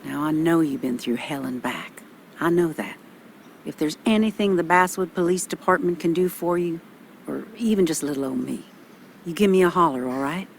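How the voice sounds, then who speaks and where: A woman's voice: deep voice, normal speed, gravelly, slight background noise. An actress playing a motherly older small-town policewoman in a video game, folksy and reassuring.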